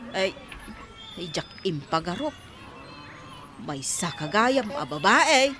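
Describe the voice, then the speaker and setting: A woman's voice: medium pitch, sing-song, slow, noisy background. An actress playing a flustered village woman in a comedy radio drama, with an Ilocano accent. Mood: dismayed.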